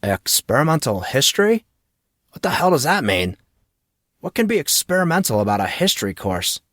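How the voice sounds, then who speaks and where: A man's voice: mid-range voice, big pitch swings, moderate pace, a bit bright, dry recording, clean studio sound, voice pushed up. Man doing a cheeky, wisecracking teenage boy. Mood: perplexed. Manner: slangy, cheeky.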